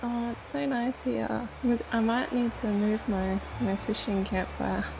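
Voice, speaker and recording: A woman's voice: on the high side, fairly even intonation, unhurried, gravelly, background noise. A woman chatting casually as a guest in a gaming video. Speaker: guest friend in a game video. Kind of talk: casual chat. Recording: prominent music behind the voice. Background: game music.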